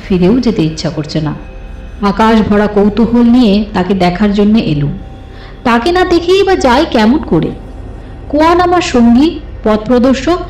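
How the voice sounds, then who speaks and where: A woman reading a suspense story as a dramatic, scripted literary narration in an expressive, deliberate way. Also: on the high side. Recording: slightly roomy, with music behind. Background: soft background music.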